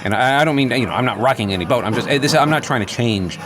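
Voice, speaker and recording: A man's voice: mid-range voice, lively intonation, quick pace, music in the background, lightly acted. A man improvising a radio-play role as a manic, defensive office worker who philosophizes in earnest, hesitant rambles. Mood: agitated, philosophizing.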